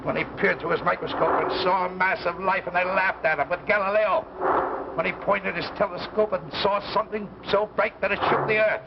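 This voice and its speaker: Obsessed male professor: gravelly, theatrical, desperate, in vintage sci-fi drama through a dull recording. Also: high voice, fairly even intonation, brisk. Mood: defiant. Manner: theatrical, impassioned, insistent rhetoric. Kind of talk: 1950s science fiction anthology drama acting. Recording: dull, noisy 1950s broadcast recording.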